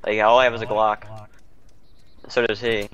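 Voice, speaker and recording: A man's voice: fairly low pitch, flat delivery, normal speed, fairly bright tone. A young man playing a survival game with a friend, giving nervous play-by-play and pleading with strangers not to shoot. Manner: jittery play-by-play, pleads with other players. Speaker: co-player. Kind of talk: casual gaming chat. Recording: dull lo-fi voice chat.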